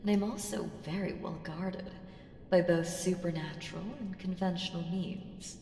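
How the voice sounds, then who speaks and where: An ancient evil female power, played by a woman, speaks in a cavernous, long reverb. Her voice is lowish and gravelly at the edges, haughty and teasing, sometimes sinking to a whisper. It is a coolly menacing audio roleplay patron who condescends with every deliberate phrase. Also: fairly even intonation, mellow. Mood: condescending warning. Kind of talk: slow ASMR audio roleplay monologue. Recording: echoing long hall reverb, ambient hiss.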